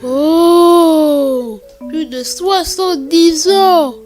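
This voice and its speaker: A woman voicing a cheeky, self-assured young girl in an animated film. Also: very high voice, very slow, soft background music, full character voice. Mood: curious.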